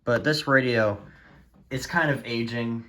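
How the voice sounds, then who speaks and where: A man talking casually in a halting, rambling way as he presents his collection in a vlog. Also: medium pitch, sharp tone, slight background noise. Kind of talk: casual show-and-tell vlog.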